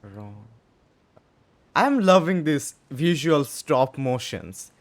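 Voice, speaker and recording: A man giving casual playthrough commentary, halting and rambling, with some mild swearing. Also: mid-range voice, sing-song. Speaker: gaming commentator. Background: game music.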